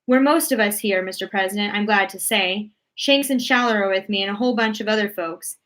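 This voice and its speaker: A woman voicing an excitable, folksy male astronaut from a storybook, gushing as he reports. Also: mid-range voice, brisk, lightly acted. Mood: relieved. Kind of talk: storybook read-aloud character voice.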